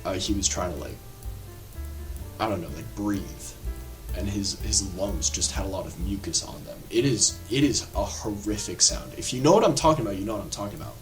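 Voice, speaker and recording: A man giving a casual, rambling film review, full of filler words and quick asides, over faint background music. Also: on the low side. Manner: animated, rambling, lots of filler words and laughs.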